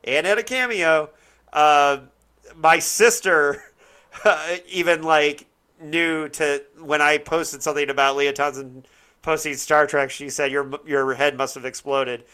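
A man's voice: a little high, fairly even intonation, a little slow, sharp tone, clear, resonant tone. A man hosting a casual discussion, rambling and correcting himself as he searches for words. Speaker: podcast host. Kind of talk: casual chat, TV show discussion.

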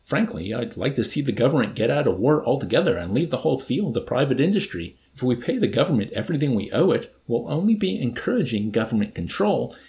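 A man reading an audiobook voicing a calm, scheming male officer who talks in a mildly reproving, chanting way. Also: medium pitch, quick pace, creaky, hardly any pauses, pitched above the performer's own voice. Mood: explaining.

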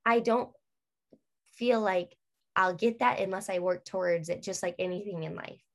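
A woman's voice: mid-range voice, fairly even intonation, normal speed, gravelly. A young adult woman, a guest in a casual interview, talking at length in a rambling way with many fillers.

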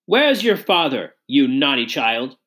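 A woman voicing a haughty, agitated mother in a storybook, with a sharp, imperious manner. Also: very deep voice, big pitch swings, measured pace, exaggerated voice. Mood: imperious, angry. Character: haughty, frantic, shouting upper-class mother.

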